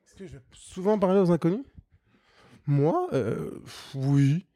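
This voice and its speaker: A young man chatting as a guest, quick and loose, often laughing and trailing off. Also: on the high side, sing-song, warm tone, dry recording. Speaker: guest, co-founder of a street art venue. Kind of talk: casual chat.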